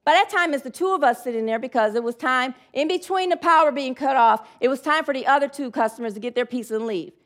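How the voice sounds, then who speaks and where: A woman with a high voice delivers a sermon in a chatty, loosely rambling way. She drifts into side remarks and then leads the room in call-and-response affirmations. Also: fast talker, few pauses. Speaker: preacher.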